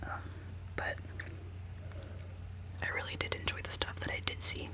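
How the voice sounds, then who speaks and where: A woman in her twenties whispering a rambling, giggly ASMR chat while she does her makeup. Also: normal speed. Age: 20s. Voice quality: decently low voice. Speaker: ASMR creator.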